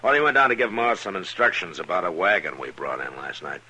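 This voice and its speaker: An American actor playing a stoic, plain-spoken frontier marshal in a 1950s Western radio drama, measured and matter-of-fact. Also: male voice, low-pitched, big pitch swings, bright, forceful tone, slight background noise, heavily acted, rough recording. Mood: easy, matter-of-fact.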